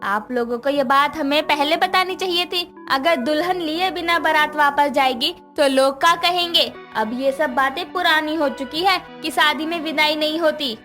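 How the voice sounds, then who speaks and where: Woman acting as middle-aged mother-in-law, high-pitched, forthright, cartoon drama delivery, light music underneath. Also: moderate pace, few pauses, sharp tone. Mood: indignant. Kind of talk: Hindi moral-story cartoon dialogue.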